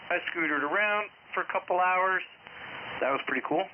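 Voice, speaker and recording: Middle-aged male ham operator, casual and breezy, clipped sentences, muffled radio with clear static. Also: high voice, fairly quick. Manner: breezy, choppy short sentences. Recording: dull, noisy ham radio transmission.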